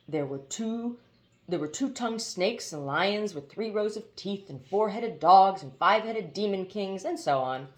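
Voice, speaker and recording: A woman narrating a children's novel aloud as an expressive audiobook reader. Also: lowish voice.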